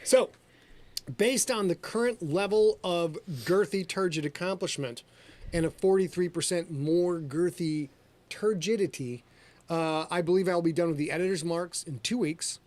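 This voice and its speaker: A man's voice: high voice, normal speed. A middle-aged man hosting a podcast livestream, joking and boasting in a showman-like way. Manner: boastful, jokey, showman-like, addresses ladies and gentlemen. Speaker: podcast host and author. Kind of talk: casual livestream chat.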